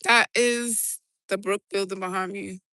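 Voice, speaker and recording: A woman with a New York accent, chatting casually and excitably on a live stream from a city rooftop, talking into her phone. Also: mid-range voice, measured pace, no room sound, clean studio sound. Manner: chatty, swearing, rambling and excitable. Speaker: livestreamer. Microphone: handheld phone.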